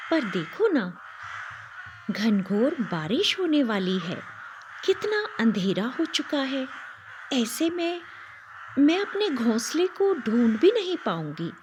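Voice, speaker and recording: A small, lonely myna bird with a raised voice that pleads gently and earnestly for a place to rest, as a woman storyteller puts her on. She talks slowly and her pitch lifts and falls a lot. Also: noisy background. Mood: pleading. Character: lone frightened female myna bird, pleading.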